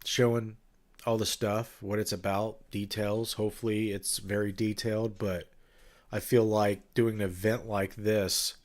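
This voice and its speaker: Stuffed-up nasal man, low voice. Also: little pitch movement, measured pace. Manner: rambling, loose, many fillers and restarts. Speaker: livestream host and ghost-hunting event organizer. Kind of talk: casual livestream chat with viewers.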